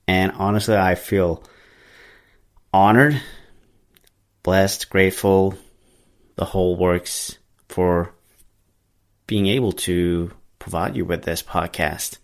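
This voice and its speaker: A man hosting a podcast in English, which is not his first language, talking casually in a rambling way with many fillers and restarts. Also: low voice. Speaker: podcast host. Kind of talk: casual solo monologue.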